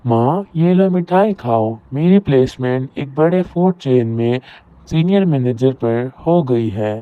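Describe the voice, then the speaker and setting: A man's voice: medium pitch, animated, measured pace, natural voice. A man playing a proud, status-conscious young elder son in a cartoon moral story. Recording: roomy echo, very thin phone-like audio.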